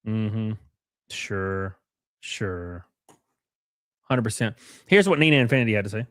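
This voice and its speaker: A man's voice: on the low side, expressive, a little slow, a bit bright, dry recording, studio quality. Sarcastic, sniffly male livestream host. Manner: sarcastic, rambling, greets chatters by name. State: sniffly, congested sinuses. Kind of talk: casual reaction livestream chat.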